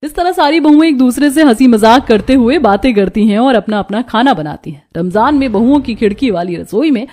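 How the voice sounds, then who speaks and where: A woman narrates a scripted cartoon story. Her voice sits on the high side. She works as the storyteller, telling the tale line by line. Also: lively intonation, fairly quick, hardly any pauses, a little mellow.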